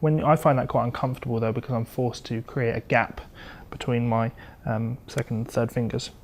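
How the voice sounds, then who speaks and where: A man's voice: medium pitch, fairly even intonation, fairly quick. A man giving a guitar lesson, explaining step by step in a conversational, instructional way. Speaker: guitar teacher. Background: electric or acoustic guitar playing.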